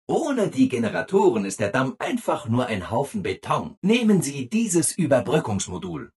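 A man's voice: mid-range voice, wildly swooping pitch, moderate pace, a little mellow, slightly rough, exaggerated voice. A man voicing a relentlessly cheerful, eager-to-please robot assistant in a video game, with chirpy, over-eager, sing-song delivery. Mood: upbeat, helpful. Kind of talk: video game character dialogue.

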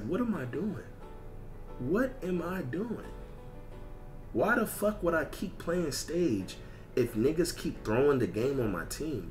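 A man chatting casually on a livestream as a video game streamer, ranting in profane, repetitive slang. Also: medium pitch. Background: music.

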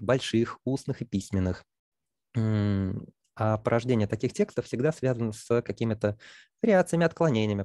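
Fast-talking man giving a lecture. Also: on the low side, mellow, no echo, studio quality. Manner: thinking aloud with frequent fillers and restarts.

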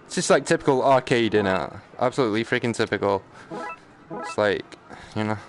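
Low-voiced British man grumbling over gameplay. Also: brisk, over music. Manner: grumbling, sarcastic, mild swearing. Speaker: gaming streamer. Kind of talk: casual live gameplay commentary.

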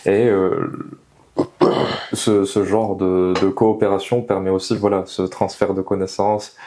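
A man's voice: low voice, little pitch movement, measured pace, warm tone, a little hiss or hum. A young man being interviewed, explaining his views earnestly and emphatically, repeating key phrases, on a lapel mic indoors. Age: young adult. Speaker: interviewed engineer. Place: small meeting room, indoors. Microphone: clip-on lapel mic.

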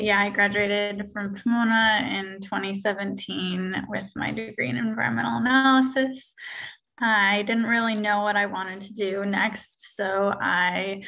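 A woman's voice: medium pitch, creaky, bright, forceful tone, low-quality recording. A woman in her twenties, introducing herself on a video call in an upbeat, chatty way. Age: 20s. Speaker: panelist. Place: small room indoors.

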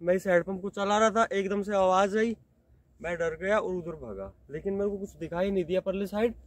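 A young man vlogging a ghost challenge on a dark rural road at night, talking excitedly to his viewers from the road and from inside a car. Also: sits high, brisk, no room sound. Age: young adult. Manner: excited, addresses viewers as guys. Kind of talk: ghost-hunting night vlog.

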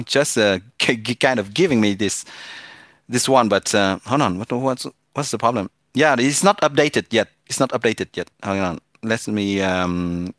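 A man's voice: mid-range voice, a bit bright, no echo, studio quality. A man from France with a French accent, chatting casually with viewers while streaming a mobile game, hesitating often with fillers and restarts.

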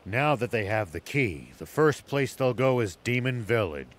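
A serious old soldier speaks firmly in a medium-pitched voice with lively inflection. He sounds cautious and resolute, in video game cutscene style. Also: normal speed. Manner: firm, serious.

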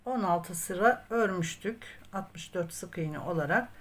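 A woman's voice: on the low side, expressive, a little slow. A woman narrating a step-by-step craft tutorial in a patient, methodical way, as the host. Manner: patient, methodical instruction, counting aloud.

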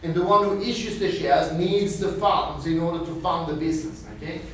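A man's voice: a little high, a little fast. Male lecturer in an echoey room. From Austria. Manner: animated, gestures widely, peppers talk with "okay?". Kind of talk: university finance lecture. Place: small classroom with screen and whiteboard. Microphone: far camera. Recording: very echoey room, from far off, faint hiss.

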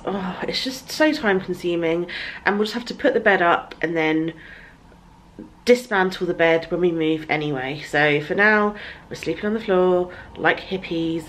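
Woman, thirties, vlogger, low-ish chatty voice, tired, handheld camera, light background hiss. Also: poor audio. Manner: chatty, breathless lists of errands. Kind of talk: casual vlog chat to camera. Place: bedroom at home, later a parked car. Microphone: handheld compact camera at arm's length.

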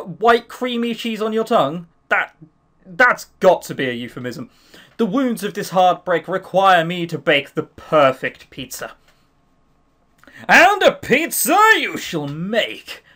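A man's voice: sits high, a bit bright. A man reading a visual novel aloud and mocking it with sarcastic comments, as a let's play host.

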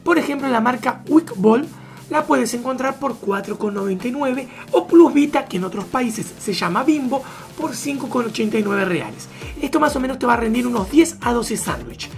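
A woman narrating a travel vlog voice-over, briskly listing supermarket prices one after another. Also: fairly high pitch, expressive, darkish tone. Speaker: travel vlogger. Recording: voice-over with music underneath.

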